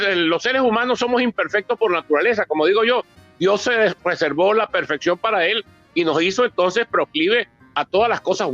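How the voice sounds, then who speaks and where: A Venezuelan man in his sixties speaks in a high register, presenting his book with many asides and repetitions. The sound is dry and somewhat dull, with faint music behind him. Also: a bit bright, no echo. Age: 60s. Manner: discursive, with frequent asides and repeated words. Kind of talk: public book presentation speech.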